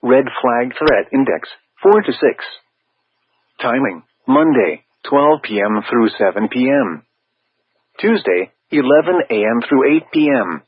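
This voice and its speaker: A synthetic male text-to-speech voice reading scripted weather warning bulletins over weather radio, formal and measured, with stilted phrasing. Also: medium pitch, expressive, slightly rough. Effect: weather radio broadcast. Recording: tinny narrow-band weather radio.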